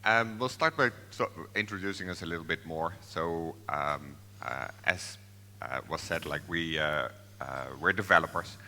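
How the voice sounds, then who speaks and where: A man's voice: low-pitched, normal speed, sharp tone, long reverb tail. A man from the Netherlands, giving a hesitant conference tech presentation full of fillers and restarts. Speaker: software developer presenting. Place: large conference hall.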